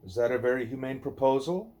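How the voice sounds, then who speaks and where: Male reader, mid-pitched and very mellow, deliberate scripted narration of a spiritual dialogue. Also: animated, slight background noise. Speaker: reader of a devotional book; parent reading aloud at a child's bedtime.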